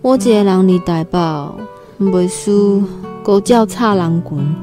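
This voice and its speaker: A woman's voice: mid-range voice, measured pace, mellow, soft background music, lightly acted. An actress with a Taiwanese accent playing a timid, earnest young country girl new to the city in a radio drama. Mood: earnest, humble. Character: timid nineteen-year-old country girl new in Taipei. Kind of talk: Taiwanese radio drama, first-person storytelling and dialogue.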